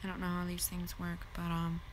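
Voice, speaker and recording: A woman's voice: fairly low pitch, completely flat delivery, fairly quick, a little hiss or hum. A young woman with a head cold and a stuffed-up nose, rambling casually through a story with lots of fillers. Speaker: vlogger.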